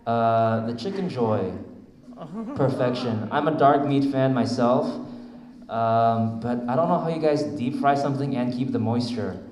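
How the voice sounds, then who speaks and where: A man from Vietnam answering a fan's question at a convention panel, reflective and unhurried with many fillers. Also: mid-range voice, a crowd in the background. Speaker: voice actor panelist. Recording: echoey hall, faint noise.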